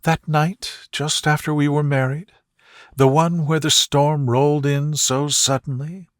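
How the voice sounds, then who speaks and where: Fond young wife played by a male narrator, softly teasing, lifted lilting tone, unhurried sleep story delivery. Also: a little high, level tone, no room sound, studio quality, pitched above the performer's own voice, close to an everyday voice. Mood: fond, reminiscing. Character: affectionate young wife, gently teasing, at ease. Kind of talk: sleep story character dialogue.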